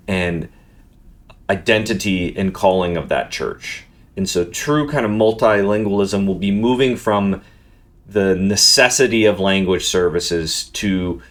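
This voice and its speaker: A man's voice: fairly low pitch, a bit bright. A man talking through a book review in an earnest, thoughtful way, often restarting his words. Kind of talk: unscripted book review monologue.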